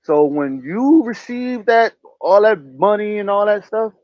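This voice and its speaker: Emphatic male host, preachy and repetitive, fairly high voice, lo-fi dry recording. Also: animated, a little slow, no room sound. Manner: preachy, emphatic, repeats phrases. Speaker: podcast host. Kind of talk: motivational casual talk. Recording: dull lo-fi sound.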